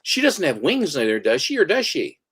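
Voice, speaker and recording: A man co-hosts a casual livestream chat, his somewhat high voice rising and falling in a lively way. He jumps in with quick, animated reactions and comments. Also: breathy edge.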